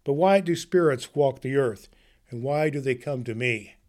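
A man's voice: mid-range voice, moderate pace, a little mellow, lightly acted. A man voicing a frightened old miser who pleads and trembles, reading aloud from a storybook.

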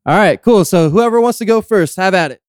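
Male podcast host, slightly high crisp voice, dry close sound, easygoing and jokey, swears casually. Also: lively intonation, a little fast, no echo. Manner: joking, laid-back, swears freely.

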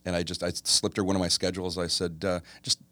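A man in his forties, a tribute singer with a deep voice, talking as a guest in a studio in a relaxed, rambling way with many fillers. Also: fast talker, dry recording. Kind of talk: casual interview chat.

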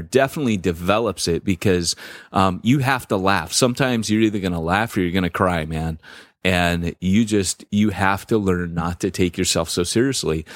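Deep-voiced joking man, dry close mic. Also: no room sound. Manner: joking, self-deprecating, many fillers. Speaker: podcast co-host. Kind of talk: casual podcast banter.

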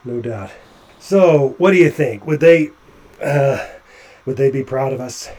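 An actor playing a nervous, chatty male doctor climbing along a ship's hull, halting with stammering restarts. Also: mid-range voice, expressive, darkish tone, close to an everyday voice. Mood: anxious. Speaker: physician on a spacewalk. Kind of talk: audio drama acting.

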